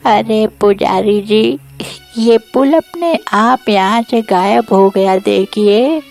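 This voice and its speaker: A woman's voice: medium pitch, takes it slowly, gravelly, darkish tone, soft background music. A woman voicing a young male character in a children's cartoon moral tale. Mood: alarmed. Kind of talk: cartoon story acting.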